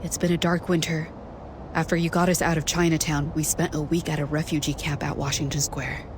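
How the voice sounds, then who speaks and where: A woman playing a composed, gracious aunt who leads refugees in a game cutscene, speaking in a measured, courteous way. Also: deep voice, little pitch movement, background noise, lightly acted. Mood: somber. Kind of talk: scripted game cutscene dialogue.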